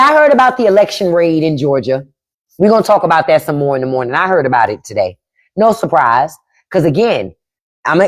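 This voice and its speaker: Woman, blunt and profane, livestream host, very lively pitch, lowish voice. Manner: blunt, profane, emphatic, reads and answers chat. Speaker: podcast host on a live stream. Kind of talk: casual livestream commentary.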